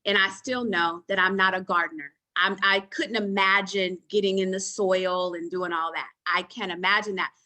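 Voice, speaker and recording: Woman hosting a health call, bright voice, emphatic, repeats herself, motivational. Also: mid-range voice. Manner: emphatic, repeats words, addresses listeners as sister friends. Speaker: host of a nutrition panel call. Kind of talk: motivational talk to an audience.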